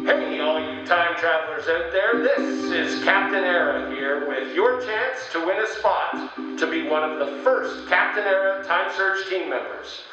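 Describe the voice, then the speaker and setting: A man's voice: high-pitched, a little slow. A man playing an upbeat radio show captain, hearty and showy, rallying listeners like an old-time promo announcer. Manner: hearty, showy salesman-like address. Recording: dull, very echoey radio broadcast with music.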